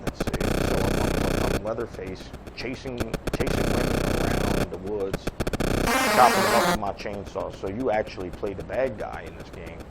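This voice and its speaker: A man's voice: fairly low pitch, moderate pace, very noisy. A man giving casual, rambling gameplay commentary as a channel host, grumbling and swearing in an offhand way, with game audio behind him. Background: loud retro video game music and sounds.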